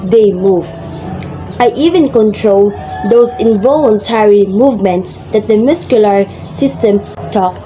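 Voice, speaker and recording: A woman's voice: medium pitch, natural voice. A woman playing a boastful, self-important nervous system in amateur reader's theater, reciting scripted lines in a dull lo-fi recording. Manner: boastful, deliberate. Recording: dull lo-fi sound. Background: music.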